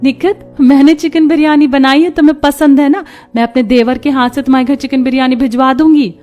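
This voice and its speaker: A woman's voice: high voice, steady tone, brisk, a little mellow, soft background music, lightly acted. A woman voicing a young woman in a cartoon family story. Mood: friendly. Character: young women of a neighbourhood, sweethearts and daughters-in-law. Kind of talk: cartoon voice acting.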